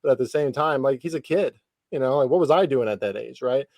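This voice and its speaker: A man in his thirties hosts a casual sports podcast. His voice is mellow and relaxed, recorded close and dry. He talks informally, with slang and the odd swear word. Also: medium pitch, a little fast, dry recording. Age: 30s. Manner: informal, lightly profane slang. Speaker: podcast host interviewing a guest.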